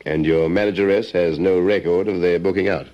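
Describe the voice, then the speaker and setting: Man playing a low-voiced, probing police sergeant. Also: steady tone, natural voice. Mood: politely insistent. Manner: measured, probing, politely insistent. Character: dogged, calmly suspicious male police sergeant questioning a suspect. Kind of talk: old radio detective drama acting. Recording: slightly dull vintage radio recording.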